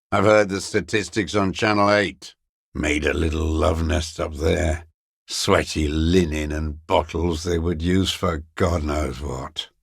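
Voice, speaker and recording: An actor playing a bitter, paranoid old communist deserter in a video game, with a weary, gravelly voice and a halting, brooding, contemptuous mutter. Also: male voice, deep voice, dry recording, clean studio sound, full character voice. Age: older. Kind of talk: video game character voice acting.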